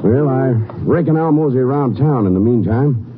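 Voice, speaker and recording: An actor playing a plain-spoken, folksy and skeptical western sheriff in a 1950s radio drama. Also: male voice, mid-range voice, lively intonation, normal speed, natural voice. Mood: plain, curious. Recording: tinny, noisy old radio recording.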